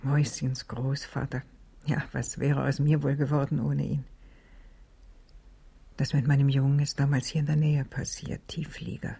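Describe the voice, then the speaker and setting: An older actress playing a reflective, kindly old village schoolteacher in a German radio drama, with a gravelly, husky voice. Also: female voice, extremely low pitch, little pitch movement, normal speed, dark tone, full character voice. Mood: sorrowful, reflective.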